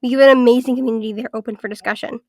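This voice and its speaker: A woman with a fairly high voice that comes out raspy and congested from being sick. She walks through a scripted video essay in an orderly, list-by-list way. The sound is dry and close, with no room around it. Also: fairly even intonation, racing, darkish tone, dry recording. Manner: structured, list-by-list evaluation.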